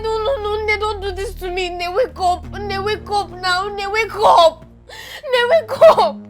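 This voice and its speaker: A woman voicing a young Nigerian orphan girl who pleads and sobs, repeating herself over and over. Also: very high voice. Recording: music playing underneath. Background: music.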